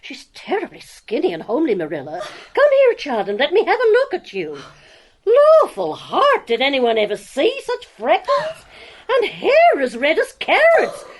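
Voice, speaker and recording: A woman's voice: high voice, wildly swooping pitch, moderate pace. An actress playing a blunt, bossy, gossipy neighbor woman in a period drama, outspoken and opinionated. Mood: scornful. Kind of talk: audio drama acting.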